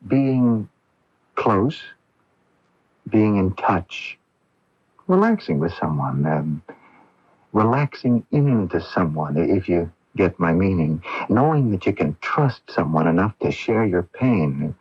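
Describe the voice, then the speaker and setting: Husky, gentle young radio-drama doctor. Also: male voice, deep voice, big pitch swings, dry recording, full character voice. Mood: gentle, uneasy. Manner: halting, hesitant, soothing. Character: kind, earnest young male medical intern, gently evasive. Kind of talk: 1980s radio drama acting. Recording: dull lo-fi 1980s AM radio recording.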